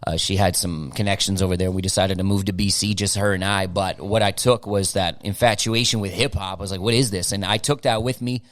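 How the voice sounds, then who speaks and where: Laid-back slangy middle-aged man, low voice. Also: fairly even intonation, fairly quick, few pauses, a bit bright, no echo. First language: German. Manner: laid-back, slangy, stumbles and repeats words. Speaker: guest rapper. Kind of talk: casual podcast interview.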